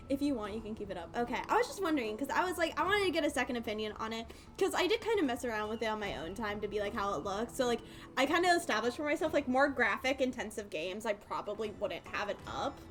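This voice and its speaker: A woman's voice: on the high side, big pitch swings, fast. A woman game streamer chatting casually, in an informal, rambling way. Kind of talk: casual livestream chat.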